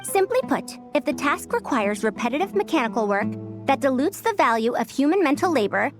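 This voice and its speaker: A woman playing a bright young mechanics teacher in a video game, friendly and articulate as she explains things. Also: sits high, sing-song, fairly quick, over music. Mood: thoughtful, analytical. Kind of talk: video game character dialogue.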